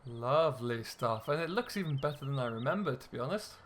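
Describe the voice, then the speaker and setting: A man's voice: medium pitch, animated, brisk, a bit bright, a little hiss or hum. A man hosting a gaming video, giving casual role-play commentary as he plays a farming simulator. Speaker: host of a gaming video series.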